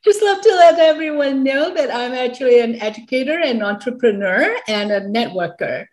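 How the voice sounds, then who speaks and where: A woman around sixty, originally from Hong Kong, chats through her story in a casual interview. She laughs often and fills pauses with many "uh"s and restarts. Her voice carries a noticeable echo from the room. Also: high voice, animated, darkish tone. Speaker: guest, educator and entrepreneur. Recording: echoey room.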